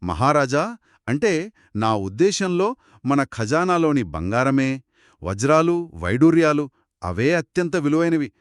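A man storyteller voicing an elderly, respectful royal minister in a deliberate, deferential manner. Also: a little high, big pitch swings, dry recording, clean studio sound.

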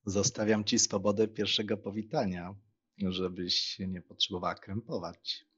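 A man playing a cheerful, talkative young gentleman who teases with playful irony in an audiobook. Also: on the low side, level tone, normal speed, husky. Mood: teasing. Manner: bantering, ironic. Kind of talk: dramatized audiobook reading. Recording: slightly dull, muffled sound.

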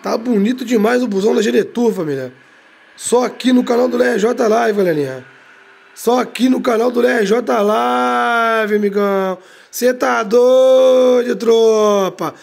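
A hoarse man from Rio de Janeiro talks over his bus game in hyped, slangy bursts. His voice sits high with a gravelly, husky edge. Every phrase trails off into a low, quiet tag word. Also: measured pace. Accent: Brazilian Portuguese, Rio de Janeiro. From Brazil. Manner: slangy, drags out catchphrases, tag words drop low and quiet. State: hoarse from a cold. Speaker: game streamer. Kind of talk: hyped gameplay commentary.

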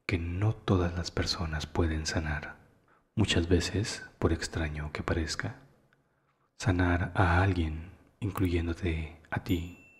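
A man's low voice leads a guided meditation at a very slow pace. He is calm and soothing, letting phrase endings soften into breath. A long reverb surrounds every phrase.

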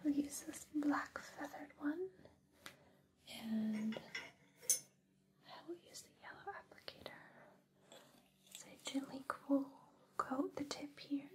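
A woman whispers softly and slowly, very close to the microphone. She hosts an ASMR roleplay with a breathy, intimate delivery. What voice comes through sits high, and the manner stays soothing throughout. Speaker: ASMR host. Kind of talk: ASMR personal-attention roleplay. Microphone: close binaural microphone.

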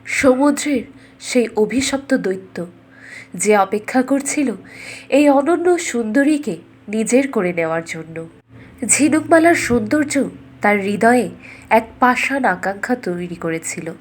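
A woman storyteller narrating a scripted fairy tale in a measured, dramatic way. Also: fairly high pitch, animated, husky.

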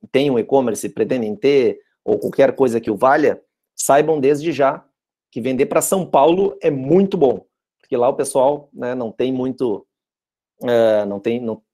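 Mellow-voiced Brazilian man lecturing. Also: medium pitch. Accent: Brazilian Portuguese. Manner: conversational, frequent tag questions, restarts. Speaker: guest lecturer. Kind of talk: online lecture presenting slides. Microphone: video call.

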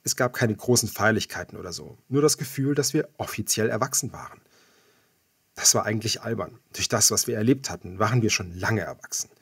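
A man narrates an audiobook novel in the first person. His voice is fairly low and husky, recorded dry and close. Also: fairly quick, a bit bright, no room sound.

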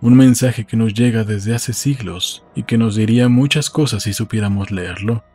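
Man acting uneasy wistful man, subdued husky delivery, slow audiobook dialogue, background music. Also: lowish voice, a little mellow. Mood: pensive.

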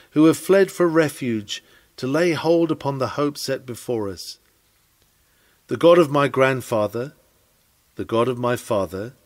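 A man reading an old-fashioned sermon aloud in an earnest, declamatory preaching manner. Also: medium pitch. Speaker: sermon reader.

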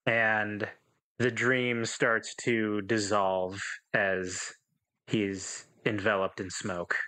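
Slow-paced man narrating roleplay. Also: fairly low pitch, flat delivery, bright, forceful tone. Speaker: game master or player in actual-play podcast. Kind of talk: narrating a tabletop roleplay scene.

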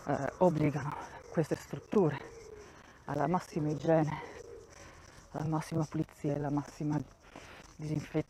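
A woman's voice: low-pitched, little pitch movement, unhurried, pauses often, noisy background. A woman giving a casual walking tour of a campground, thinking aloud and often restarting her sentences, recorded on a handheld phone outdoors. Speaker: vlogger touring a campsite.

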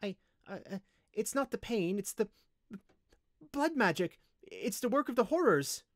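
A man voicing a frightened dwarf slave woman in an audiobook, timid, halting and fearful. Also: high voice, very animated, dry recording, studio quality, pitched above the performer's own voice. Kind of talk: audiobook character voice.

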